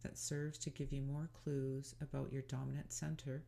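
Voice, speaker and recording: A woman leading a gentle guided meditation in a calm, soothing, unhurried and inviting way. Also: extremely low pitch, creaky.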